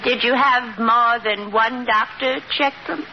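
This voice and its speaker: An actress playing a gloomy, gossipy hospital patient with foreboding warnings in an old radio comedy. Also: female voice, on the high side, fairly even intonation, measured pace. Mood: ominous. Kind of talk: 1950s radio comedy acting. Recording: muffled, noisy old radio recording, studio audience.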